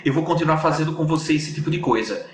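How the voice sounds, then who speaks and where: A man's voice: on the high side, quick pace. A man with a northeastern Brazilian accent, explaining things as an English teacher in a casual online lesson, often repeating points for emphasis. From Natal, northeastern Brazil. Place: indoors on a Skype video call. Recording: roomy echo with faint noise.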